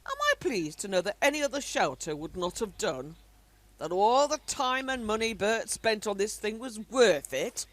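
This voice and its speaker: A woman's voice: medium pitch, animated, moderate pace, natural voice. A woman playing a prim, nagging English wartime wife and mother in an amateur radio play. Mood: sardonic. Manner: indignant, nagging.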